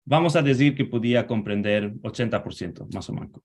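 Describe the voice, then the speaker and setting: A man from Spain answering interview questions over a video call, speaking in a measured, halting way and correcting himself as he goes. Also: mid-range voice, a little mellow. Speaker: guest.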